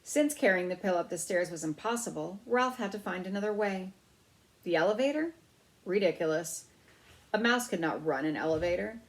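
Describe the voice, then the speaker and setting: A woman's voice: on the low side, lively intonation, moderate pace, low-quality recording. A woman storyteller reading a children's novel aloud.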